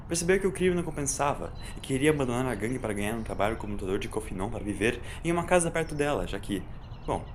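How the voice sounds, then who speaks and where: A man's voice: mid-range voice, a little hiss or hum. A man with a Brazilian accent narrating a scripted audio story. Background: music.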